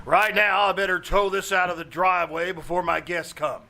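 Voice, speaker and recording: High, melodramatic, exasperated neighbor played by a man. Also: fairly even intonation, moderate pace, vocal fry, bright, forceful tone, heavily acted. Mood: resigned, seething. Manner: melodramatic, blustering, wailing. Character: exasperated, wealthy male neighbor, melodramatically despairing. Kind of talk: old-time radio comedy acting. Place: small-town theater stage, live performance. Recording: noisy live theater stage recording.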